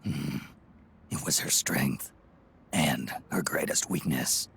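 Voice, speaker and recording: An actor playing a gruff, world-weary old soldier in a video game, with a growling voice and terse, clipped delivery. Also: male voice, very deep voice, takes it slowly, fairly bright tone, full character voice. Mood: grim, wary. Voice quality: gravelly, growling. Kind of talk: dramatic video game cutscene acting.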